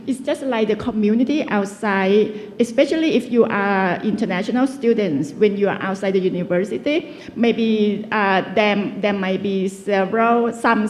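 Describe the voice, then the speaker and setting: A woman's voice: medium pitch, darkish tone, slight background noise. A woman giving a guest lecture in a chatty, conversational way, often stopping to search for words. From Thailand. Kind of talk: casual lecturing. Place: lecture hall. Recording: long reverb of a lecture hall.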